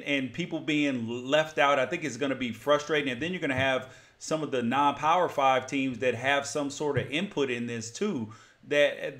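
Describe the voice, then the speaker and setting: An American man hosting a sports podcast, arguing his opinions emphatically in lively debate with a guest. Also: medium pitch.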